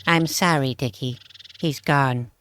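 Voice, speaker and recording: A woman acts a young maiden on a fantasy quest in an audio drama. Her voice is low, and she talks in a calm, practical, matter-of-fact way. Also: slow pace, heavily acted.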